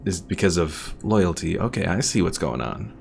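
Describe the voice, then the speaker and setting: Deep gravelly man explaining gameplay. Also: moderate pace, a little hiss or hum. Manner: relaxed, explaining game mechanics. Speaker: streamer. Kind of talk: casual gameplay commentary.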